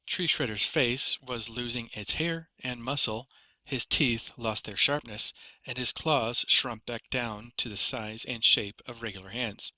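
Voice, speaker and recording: A man's voice: medium pitch, sharp tone, no room sound. A man reading a first-person fantasy story as a scripted audiobook narration.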